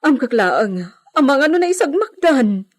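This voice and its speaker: A woman's voice: high-pitched, sing-song, normal speed. A woman playing an anxious, deferential village servant in a radio drama. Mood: anxious, pleading. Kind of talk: Ilocano radio drama acting.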